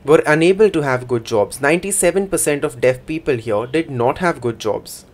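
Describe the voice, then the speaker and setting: Man giving a measured voice-over. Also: on the high side. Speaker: voice-over interpreter for a signing speaker. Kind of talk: first-person voice-over interpretation.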